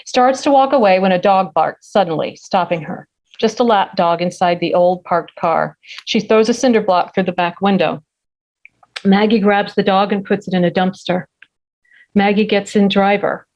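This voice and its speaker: Woman reading screenplay action, mid-pitched. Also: moderate pace, a little mellow, rough recording. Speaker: stage directions reader. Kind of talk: scripted narration of a screenplay.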